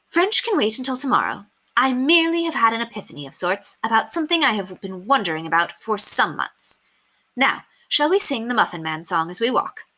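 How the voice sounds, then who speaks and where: A woman voicing an eager, clever young governess in a storybook, musing aloud and exclaiming brightly. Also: on the high side, very animated, brisk, voice pushed up, heavily acted. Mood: cheerful, reassuring.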